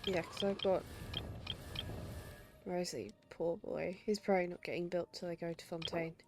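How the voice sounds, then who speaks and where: A woman's voice: on the low side, fairly even intonation, normal speed, noisy background. A woman livestreaming a game, chatting casually and talking to herself as she plays. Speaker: game streamer. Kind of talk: casual livestream gameplay commentary. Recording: game music behind the voice. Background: game music.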